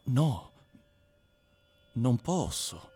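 An actor playing a haunted man recounting his ordeal in a measured, theatrical literary radio reading. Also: mid-range voice, big pitch swings, warm tone, slightly rough, heavily acted. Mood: reflective.

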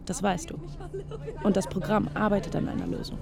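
A woman's voice: medium pitch, fairly quick, gravelly, a crowd in the background. An actress playing an earnest, idealistic young female student in a naturalistic radio play. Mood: firm.

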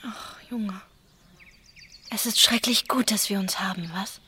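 An actress playing a tender, plain-spoken young wife, gentle and earnest, in a German radio drama. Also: female voice, medium pitch, unhurried, slightly rough, full character voice.

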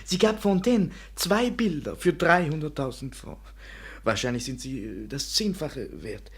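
Young French painter: self-important, husky, given to theatrical declarations; 1950s German radio drama. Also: male voice, on the high side, measured pace. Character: vain young French painter, boastful, melodramatic suitor.

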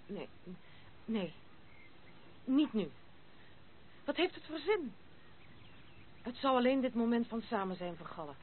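A woman's voice: a little high, big pitch swings. Proud, troubled noblewoman, thin noisy recording. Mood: anguished. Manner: halting, guarded, defiant. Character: proud, troubled young noblewoman nicknamed Major Frans. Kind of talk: Dutch radio play acting. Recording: noisy, thin phone-like old radio recording.

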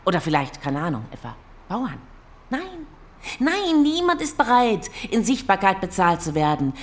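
A woman's voice: medium pitch, wildly swooping pitch, normal speed. An actress playing an exasperated, sarcastic girlfriend who teaches school, in naturalistic, colloquial radio play dialogue.

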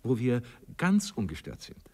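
A man with a husky voice playing an insistent lover in a radio play. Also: mid-range voice, wildly swooping pitch, moderate pace, a little mellow, heavily acted. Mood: urging, brisk. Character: insistent male lover in a restaurant tryst. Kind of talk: German radio play acting. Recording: hall-like reverb.

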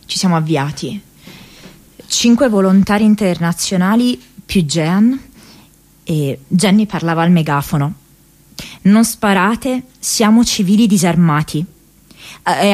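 An actress playing an earnest, haunted young American woman activist in an intimate radio drama monologue, speaking haltingly with hesitant pauses and repeated words. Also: fairly low pitch, a little mellow. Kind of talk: intimate radio drama monologue, diary read as spoken memories.

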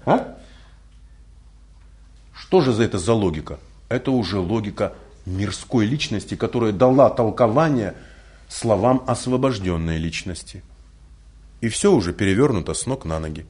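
A man who is not a native Russian speaker giving a spiritual lecture, full of rhetorical questions and lively storytelling. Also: fairly low pitch, normal speed, pauses often. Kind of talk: recorded religious lecture.

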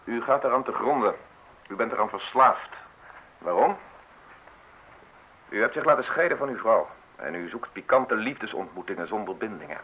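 An actor playing a suave, menacing justice official in a radio drama, speaking in measured, formal, insinuating phrases. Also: male voice, lowish voice, animated, bright, forceful tone, breathy edge, exaggerated voice, rough recording. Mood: probing, accusing. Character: suave, menacing male state justice official offering a deal.

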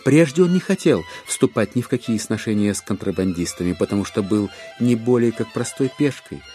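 A man narrating a literary audio play in a wry, expressive storytelling manner. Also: fairly low pitch, a little fast, soft background music.

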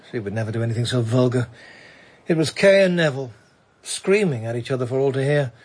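Brief: man as a prim, disapproving friend, slightly husky. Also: medium pitch, lively intonation, a little fast, darkish tone, natural voice. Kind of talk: radio drama acting.

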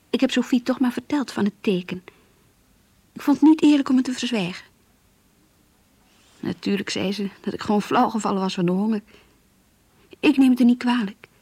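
A woman playing a naive, pious schoolgirl in love, breathy and earnest, confiding her longings in a radio play. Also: mid-range voice, darkish tone, dry recording. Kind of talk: radio drama, diary-like monologue and dialogue.

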